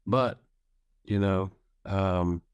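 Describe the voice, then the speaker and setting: A man in his early thirties, a musician guest on a podcast, speaking in a laid-back, halting way and repeating himself. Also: low voice, clear, resonant tone, dry recording, clean studio sound. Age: 30s. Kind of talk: casual interview chat.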